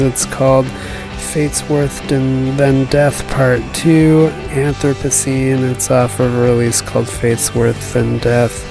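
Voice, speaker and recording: A radio host signing off a show late at night, hoarse and losing their voice, rambling and self-deprecating as they trail off. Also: medium pitch, low-quality recording. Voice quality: froggy.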